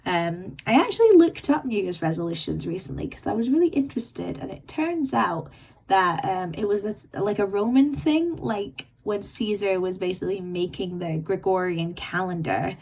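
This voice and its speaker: A woman in her late twenties hosting her own podcast, rambling and self-mocking in casual chat, her voice croaky and bunged up from illness. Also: medium pitch, lively intonation, normal speed, darkish tone, slight background noise, low-quality recording. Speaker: podcast host. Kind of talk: casual chatty monologue.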